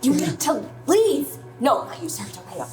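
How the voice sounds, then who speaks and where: A young woman playing a defiant, profane pop star in her late twenties in a radio play, insistent and sharp-tongued. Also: high voice, big pitch swings, moderate pace. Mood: angry, exasperated. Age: young adult. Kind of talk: naturalistic radio play acting. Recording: music behind.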